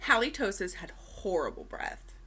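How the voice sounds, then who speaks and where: A woman reading a children's storybook aloud expressively, with deliberate dramatic pauses and emphasis. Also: mid-range voice, very animated, sharp tone, a little hiss or hum.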